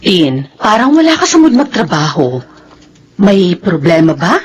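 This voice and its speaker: Wildly expressive, inquisitive friend. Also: female voice, on the low side, measured pace, dull audio, loud music behind the voice. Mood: concerned, surprised. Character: inquisitive, concerned female friend and coworker. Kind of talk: Filipino radio drama acting.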